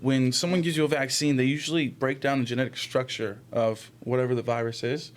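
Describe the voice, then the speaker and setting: A man's voice: mid-range voice, fairly even intonation, fairly quick. A man in a casual podcast chat, explaining things in a slangy way with some swearing. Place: small room set up as a podcast studio. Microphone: close podcast microphone on a stand. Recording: clear background noise.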